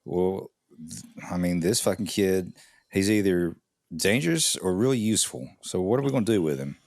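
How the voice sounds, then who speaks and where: A man in his thirties makes wry remarks in a casual podcast chat. His low voice rises and falls a lot, and the sound is dry and close. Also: expressive, moderate pace, darkish tone, no echo. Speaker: podcast co-host.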